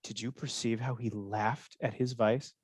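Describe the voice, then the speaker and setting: A man's voice: mid-range voice, steady tone, unhurried, slightly rough, no echo, studio quality. A man playing a scheming, manipulative villain in a Shakespearean radio drama, insinuating and goading in manner. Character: scheming, manipulative male villain, a soldier's confidant.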